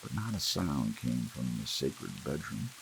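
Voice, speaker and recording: A man reading a story aloud as an audiobook narrator, in a relaxed bedtime style. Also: a bass voice, unhurried, gravelly. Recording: faint hiss and rain sound. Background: soft rain.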